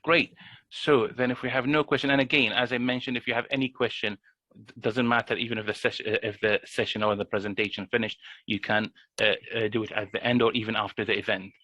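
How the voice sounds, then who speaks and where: A man's voice: medium pitch, steady tone, fast, bright, forceful tone, dry recording, rough recording. A man, a GP, explaining a benefits form in an online workshop, with many fillers and restarts. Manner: hesitant, frequent restarts and fillers. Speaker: GP presenter. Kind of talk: explanatory presentation.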